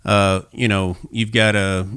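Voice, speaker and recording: Man, podcast host, fairly low voice, dry sound, casual sports chat, halting conversational delivery. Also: level tone, a bit bright, smooth, dry recording.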